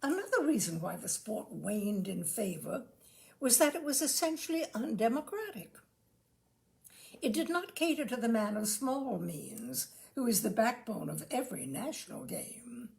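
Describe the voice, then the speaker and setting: A woman with a fairly high voice reads comic prose aloud. Her intonation swoops expressively and often settles into a gravelly creak. The delivery is theatrical, with deliberate phrasing and pauses for effect. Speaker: reader of literary humour. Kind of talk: expressive reading of a comic essay.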